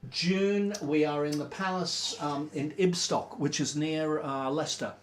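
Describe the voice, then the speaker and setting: A man chats loosely through an informal livestream, trailing off and filling gaps with ums. His voice sits a little high for a man. The sound bounces around a roomy space. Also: a little slow. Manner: relaxed, chatty, rambling with many fillers. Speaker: band member hosting a livestream.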